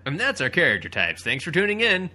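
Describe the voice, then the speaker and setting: A man's voice: a little high, huge pitch swings, fast, pushed and bright. A man co-hosting a casual comic riffing commentary, with a sarcastic, crude joking manner.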